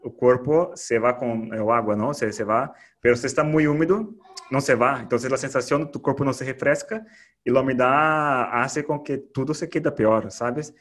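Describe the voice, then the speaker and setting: A man's voice: mid-range voice, normal speed, a bit bright. A man hosting a podcast interview in casual chat, slipping words into his Spanish with many restarts. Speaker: podcast host.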